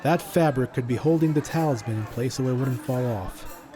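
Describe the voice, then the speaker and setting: A man's voice: medium pitch, moderate pace, warm tone, a faint crowd, natural voice. A man playing a level-headed, wry lookout in an audio drama, dry and understated. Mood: pensive.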